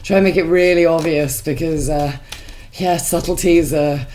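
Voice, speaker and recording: Woman, congested, deep voice, chatty tarot reader, faint background noise. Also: fairly even intonation, moderate pace, a little mellow. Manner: chatty, musing, many fillers and restarts. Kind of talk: tarot reading to camera.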